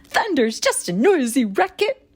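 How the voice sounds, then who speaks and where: A woman's voice: high-pitched, sing-song, unhurried, pitched above the performer's own voice. A woman voicing a small, eager duck in a children's storybook, with a quacking manner. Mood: bold. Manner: quacking, loud, pleading.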